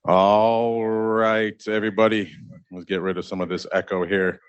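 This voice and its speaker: A man's voice: on the low side, level tone, normal speed, muffled recording, soft background music. A man hosting a show at a live event, joking and teasing his co-hosts as he introduces them. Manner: jokey, teasing banter. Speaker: host. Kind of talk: casual chat. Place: event space away from the studio.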